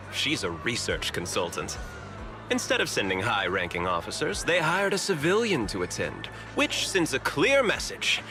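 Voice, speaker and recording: A man playing a shrewd, candid corporate manager in a video game, speaking in a polished, measured, explanatory way. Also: mid-range voice, lightly acted. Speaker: corporate executive and security head. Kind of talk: scripted video game dialogue.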